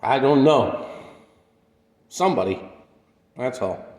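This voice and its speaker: A man playing an aging, modest, wistful drifter in a radio play, speaking in a halting, plainspoken, reflective way. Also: mid-range voice, animated, a little mellow. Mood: wistful, puzzled. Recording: echoey hall-like reverb.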